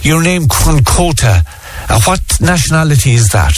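A man's voice: a little high, very animated, moderate pace, slight background noise. Irish male radio host. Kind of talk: live radio talk and interview.

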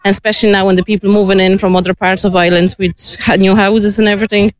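A woman's voice: mid-range voice, level tone, fast, clean tone, no room sound, poor audio. Polish-accented woman, non-native English, earnest, dry recording. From Poland. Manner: earnest, searching for words. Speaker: team player. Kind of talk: casual interview.

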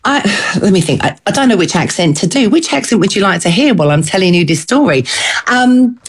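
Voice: medium pitch, animated, brisk, husky. A coach, answering interview questions in a chatty way, full of fillers and restarts. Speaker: accent coach.

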